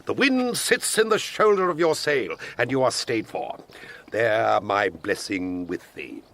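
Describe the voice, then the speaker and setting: An actor playing a pompous, wordy old father in Shakespearean style, lecturing his grown children emphatically in a gravelly, husky voice. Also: mid-range voice, animated, measured pace, fairly bright tone. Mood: blustering, advising. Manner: declamatory, emphatic, sententious. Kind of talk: Shakespearean stage acting.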